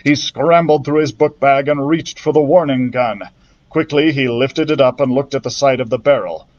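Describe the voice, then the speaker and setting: A man's voice: medium pitch, normal speed, lo-fi sound. Man reading a storybook aloud. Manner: steady storytelling narration with small slips. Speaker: children's librarian. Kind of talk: reading a children's book aloud.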